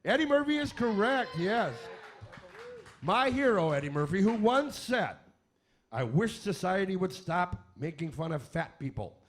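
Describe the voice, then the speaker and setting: High-pitched, animated comic male quiz host. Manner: irreverent, jokey, drawn-out question reading. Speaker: quiz host of a comedy radio variety show. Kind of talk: comic game show hosting with joke asides.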